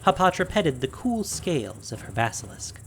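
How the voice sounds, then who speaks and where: A man's voice: on the high side, big pitch swings, moderate pace, slight background noise. A man narrating a scripted fantasy audio drama in a dramatic storytelling style.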